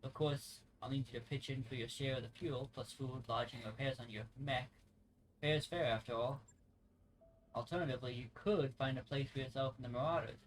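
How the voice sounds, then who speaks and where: A man's voice: medium pitch, steady tone, a little fast, slight background noise, lightly acted, rough recording. A man reading aloud the game dialogue of a friendly, plain-spoken mercenary officer, conversational and stumbling over some words. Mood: businesslike. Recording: music playing behind the voice.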